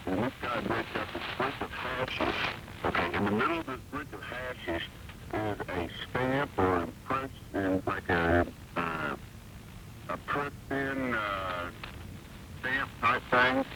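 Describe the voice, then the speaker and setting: A man in his forties calling a radio show, telling stories in a casual, halting, rambling way over a shortwave broadcast. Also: lowish voice, very animated. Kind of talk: casual phone-in chat. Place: phone call into radio show. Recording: very noisy shortwave broadcast, distant caller sound.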